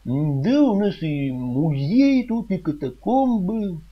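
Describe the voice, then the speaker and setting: A man's voice: high-pitched, sing-song, takes it slowly, husky, heavily acted. Male comedy radio host: flustered, self-important, stuttering, swooping intonation, dull lo-fi sound. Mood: peevish. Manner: stammering, hesitant, fussy. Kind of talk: comedy radio sketch acting.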